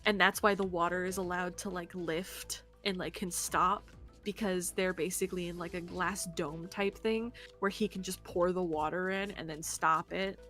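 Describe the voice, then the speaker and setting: A young woman co-hosting a game stream with casual, animated, rambling commentary peppered with "like". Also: fairly low pitch, normal speed. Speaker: streamer co-hosting a visual novel playthrough. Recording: background music under the voice. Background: background music.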